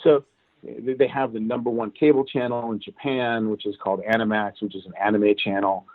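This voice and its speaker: A man from Cuba, a guest in an interview, telling how his career went in an animated, casual way. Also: on the low side, a little fast, no echo. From Cuban. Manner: animated, recounts events at length. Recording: tinny phone-like line, dry.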